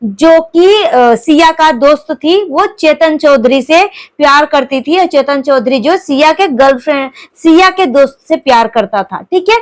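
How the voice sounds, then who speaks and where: A woman's voice: sits high, animated, measured pace. A woman commentator talking through a crime news case informally, explaining it to viewers with repeated verbal tags.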